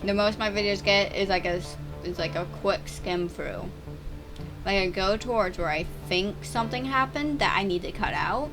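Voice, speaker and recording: A teenage girl chatting and stammering through gameplay commentary on her own channel, with a voice she calls a little deeper and rougher over time. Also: normal speed, music in the background. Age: teens. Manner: chatty, stammering, restarts words. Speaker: gaming YouTuber.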